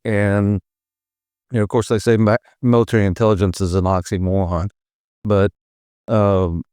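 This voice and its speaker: A man in his fifties from the United States, a podcast guest, telling his life story in an unhurried way, with fillers and soft chuckles. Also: fairly low pitch, little pitch movement, clean tone, no echo, clean studio sound. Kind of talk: storytelling in an interview.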